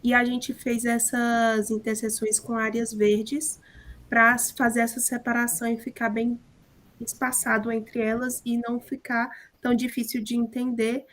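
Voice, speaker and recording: A hoarse woman student explaining her project's drawings in a steady way. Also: fairly high pitch, normal speed. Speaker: architecture student. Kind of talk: class project presentation.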